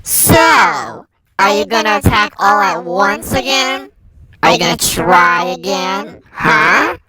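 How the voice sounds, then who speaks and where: A woman's voice: lowish voice, lively intonation, slow pace, sharp tone, slightly rough, full character voice. A woman voicing a cocky, taunting chaos monster spoiling for a fight in an amateur action drama. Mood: aggressive taunting. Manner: taunting, challenging.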